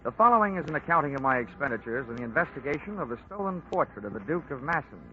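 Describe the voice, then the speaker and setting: A man's voice: medium pitch, big pitch swings, racing, pushed and bright, slight background noise. Wisecracking man narrating a detective drama. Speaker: insurance investigator and narrator. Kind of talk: 1940s radio acting. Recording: lo-fi old radio recording with music.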